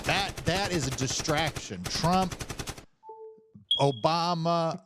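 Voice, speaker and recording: A man's voice: fairly high pitch, takes it slowly, background noise. A man chatting casually about politics on a livestream, emphatic and exasperated, swearing often.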